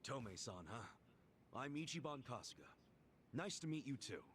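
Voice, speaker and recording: A man's voice: mid-range voice, lively intonation, moderate pace, fairly bright tone, a little hiss or hum, natural voice. A man playing an earnest, big-hearted middle-aged video game hero with an emphatic, informal way of talking. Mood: warm resolve. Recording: music behind the voice. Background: guitar music.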